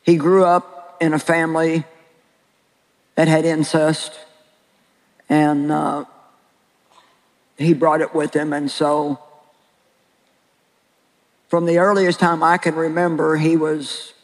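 An older woman with a very deep voice preaching a sermon and sharing her own story in an earnest, plain-spoken way with slow, deliberate pauses. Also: level tone, in a large hall, low-quality recording. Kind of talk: sermon with personal testimony. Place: large hall with an audience.

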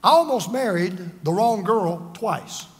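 Man, over fifty, pastor, high voice, emphatic, self-deprecating, long hall reverb. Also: lively intonation, slow. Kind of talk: sermon with personal stories.

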